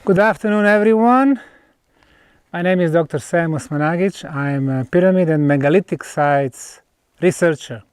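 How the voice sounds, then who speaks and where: A man with a Bosnian accent presenting an on-site documentary in deliberate, emphatic phrases broken by pauses. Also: on the high side, smooth, no echo. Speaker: presenter, researcher. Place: outdoors at stone ruins.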